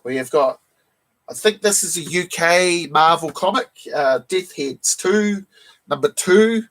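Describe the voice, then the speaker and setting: A man hosting a casual live stream, croaky from a cold, who keeps clearing his throat and greets viewers in the chat one by one. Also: on the high side, a little slow, fairly bright tone. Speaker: live stream host showing comic books.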